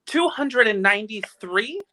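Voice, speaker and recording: A man's voice: high-pitched, expressive, a little slow, sharp tone, a little hiss or hum, exaggerated voice. Radio play angel: male actor as ancient but guileless heavenly helper, earnest and quaint. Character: innocent, earnest 293-year-old second-class guardian angel. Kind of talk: old-time radio play live reading.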